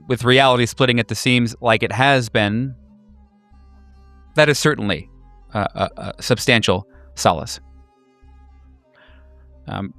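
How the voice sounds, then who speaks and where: A man running a horror tabletop game, narrating atmospherically in a measured, deliberate way. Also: lowish voice, fairly bright tone, clean tone, dry recording.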